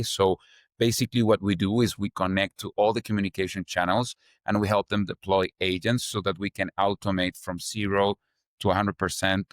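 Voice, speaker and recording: A young Chilean man with a fairly low voice talks through his story in accented English, loose and chatty, leaning on fillers. The sound is close and dry, with no room around it. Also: dry recording. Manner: loose, chatty, strings ideas with "like" and "kind of". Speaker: startup founder guest. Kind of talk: casual interview answers.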